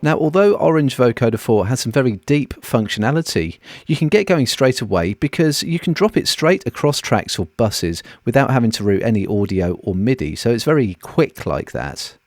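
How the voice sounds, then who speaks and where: A man presenting an audio software walkthrough in a scripted, explanatory narration, recorded close on a voice-over mic. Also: mid-range voice, sing-song, moderate pace, few pauses, no echo.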